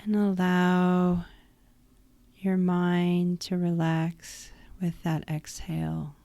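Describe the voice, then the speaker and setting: A woman guiding a yoga nidra relaxation practice in a calm, soothing, instructive manner. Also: on the low side, very slow, creaky, no room sound. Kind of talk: guided meditation.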